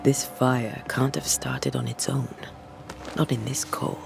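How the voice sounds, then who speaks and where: Steady colonial ghost hunter, creaky voice, calm archaic speech, game drama, faint music underneath. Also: male voice, mid-range voice, takes it slowly. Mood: musing. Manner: calm, plain-spoken, archaic phrasing. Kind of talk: dramatic period game acting.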